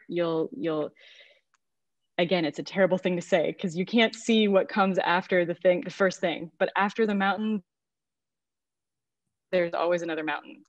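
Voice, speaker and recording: A woman's voice: fairly low pitch, dry recording. A woman translator speaking on a panel video call, reflective and encouraging.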